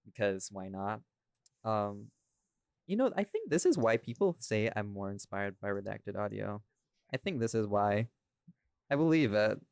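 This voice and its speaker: A man's voice: on the low side, very animated, a little slow, creaky, pauses often, darkish tone, clear, resonant tone, no echo, clean studio sound. A man hosting a casual behind-the-scenes podcast chat in a rambling, informal way. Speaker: podcast host and audio creator.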